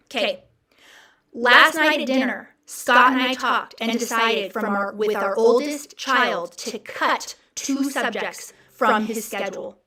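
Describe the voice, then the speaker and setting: Woman livestream host, high voice, chatty and encouraging, echoey room, set back from the mic. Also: fairly bright tone, far from the mic. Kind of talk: casual livestream chat.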